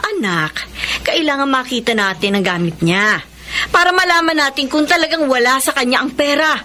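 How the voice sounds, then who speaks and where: A woman's voice: sits high, animated, moderate pace, sharp tone. An actress playing a stern, accusing middle-aged mother in a radio drama. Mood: suspicious, stern.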